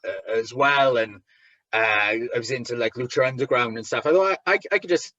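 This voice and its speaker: A man with a thick Welsh accent, a wrestler chatting as an interview guest, talking haltingly with lots of restarts and fillers. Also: medium pitch, pushed and bright, dry recording, muffled recording. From Wales. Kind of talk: casual interview chat.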